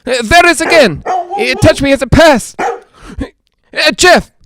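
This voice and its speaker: A man playing an excitable, skeptical reporter in a 1940s-style radio drama, hesitant and stammering when rattled. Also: extremely high pitch, slow, noisy background, heavily acted. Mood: alarmed. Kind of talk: 1940s radio drama acting, live on-the-scene commentary.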